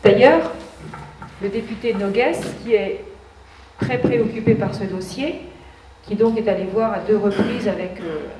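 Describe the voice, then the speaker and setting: A woman's voice: medium pitch, warm tone. Woman speaking in an echoey hall. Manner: earnest, ironic asides, many restarts. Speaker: association speaker at a public meeting. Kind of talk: public meeting presentation. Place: public meeting hall. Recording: echoey hall, distant mic, faint background noise.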